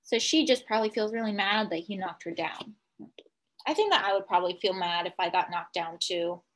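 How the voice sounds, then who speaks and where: A woman teacher reading a picture book aloud to young children, pausing to explain things simply and ask questions. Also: medium pitch, a bit bright. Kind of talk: children's read-aloud with commentary.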